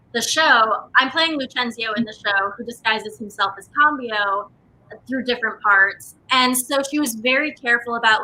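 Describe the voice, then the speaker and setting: A woman's voice: a little high, sharp tone, a little hiss or hum, rough recording. A woman actor talking casually as an interview guest. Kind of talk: casual chat, telling anecdotes.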